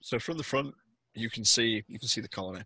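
A man's voice: medium pitch, fast talker, bright, forceful tone, slightly rough, no echo. A man narrating a tabletop roleplaying game as game master over a headset. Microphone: headset on a video call.

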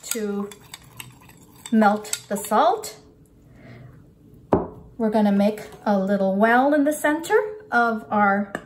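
An older woman giving a casual, unscripted cooking demonstration, talking through each step as she works. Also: mid-range voice. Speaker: cooking instructor. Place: home-style kitchen, indoors at a counter. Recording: clear kitchen clatter and background noise.

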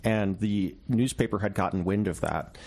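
A man with a fairly low voice talks as an interview guest. He explains conversationally and in a measured way, like a journalist qualifying each detail. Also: darkish tone.

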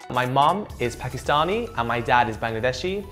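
A man's voice: mid-range voice, animated, fairly quick, fairly bright tone. A young male student with a London accent gives an informal interview. His voice sits mid-range over light background music. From East London, England. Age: early 20s. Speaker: university student. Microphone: lapel mic.

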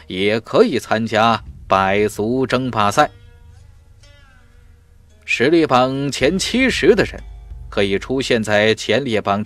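A man's voice: medium pitch, a bit bright, no echo. A man narrating a fantasy audiobook in a dramatic, emphatic storytelling manner. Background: music.

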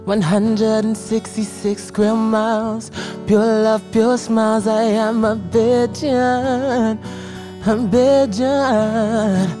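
A young Barbadian man, a talent show contestant who sings to his own acoustic guitar on a studio stage and speaks softly and earnestly about his song. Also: high voice, little pitch movement, drawn-out words. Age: young adult. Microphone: stand mic. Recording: acoustic guitar behind the singing.

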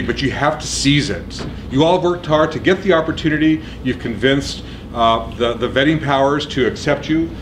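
A middle-aged man giving an energetic orientation speech in a university lecture room, often checking in with "okay?" and "right?". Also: medium pitch, noisy background. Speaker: program administrator.

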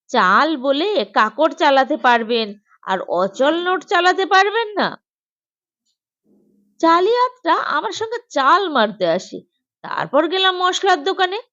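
A woman's voice: sits high, fairly bright tone, highs cut off, pitched above the performer's own voice, exaggerated voice. A woman voicing a cheeky, grumbling schoolboy in a humorous storybook reading, glib and whiny with boastful excuses. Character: cheeky, grumbling schoolboy, slyly dim pupil.

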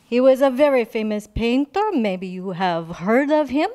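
A woman playing a playful Italian-French sister of a famous painter, speaking halting, broken English in a put-on accent for an improvised comedy interview. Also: fairly high pitch, expressive, dark tone. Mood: chatty. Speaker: guest artist.

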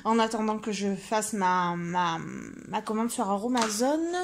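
A woman's voice: mid-range voice, unhurried. A woman, chatting informally and thinking aloud as she shows her shopping in a casual vlog. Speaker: vlogger. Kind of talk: casual vlog chat, showing purchases. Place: car interior, later a room at home.

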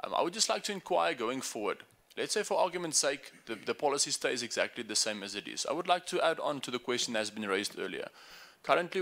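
A young man asking a formal, measured question at a university debate into a desk microphone. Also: mid-range voice, bright, forceful tone. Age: young adult. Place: university meeting room.

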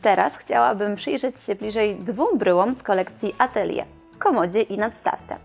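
A young woman presenting and reviewing furniture in a showroom, in a clear, explanatory scripted delivery. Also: mid-range voice, normal speed, darkish tone, soft background music.

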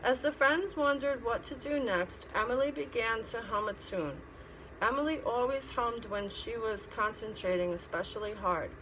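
A middle-aged woman reads a picture book aloud as a teacher, pausing to explain and ask simple questions. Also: fairly high pitch. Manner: instructive, asking simple questions. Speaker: teacher reading to children. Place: classroom. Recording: clear background noise.

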